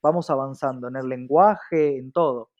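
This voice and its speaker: A man's voice: mid-range voice, normal speed, a little mellow. A man with a Rioplatense Argentine accent giving reflective, explanatory interview answers with earnest repetition and rhetorical questions. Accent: Rioplatense Argentine Spanish. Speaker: interview guest, diversity specialist. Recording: slightly dull, lo-fi sound.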